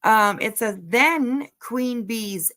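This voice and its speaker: A woman's voice: fairly high pitch, animated, drawn-out words, pushed and bright. A woman hosting a casual livestream commentary, talking bluntly and emphatically, repeating phrases for emphasis and swearing casually. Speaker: livestream host.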